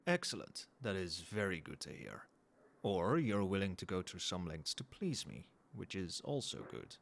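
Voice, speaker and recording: Man playing a low-voiced, formal CEO boss. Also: very animated, creaky, full character voice. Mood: approving, suggestive. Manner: formal, measured, businesslike, faintly suggestive. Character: cold, professional male CEO boss, quietly demanding. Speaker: voice actor in an audio roleplay. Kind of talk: ASMR roleplay, one-sided dialogue to the listener.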